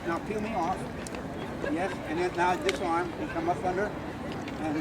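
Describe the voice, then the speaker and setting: A man's voice: high voice, steady tone, moderate pace. A man teaching self-defense as an instructor, informally talking through each move outdoors. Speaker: martial arts instructor. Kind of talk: hands-on self-defense demonstration. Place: outdoors on public concrete memorial steps. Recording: outdoor city noise, people around.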